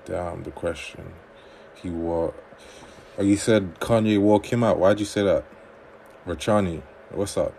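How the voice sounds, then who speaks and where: A man hosting a livestream on dating advice, reading viewer comments aloud and answering them in a relaxed conversational way. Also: deep voice, a little slow, gravelly, long pauses, a little hiss or hum.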